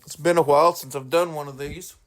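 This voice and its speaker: A man hosting a solo podcast in an informal, earnest way, halting and correcting himself as he goes. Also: a little high, steady tone, heavy background noise. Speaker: podcast host.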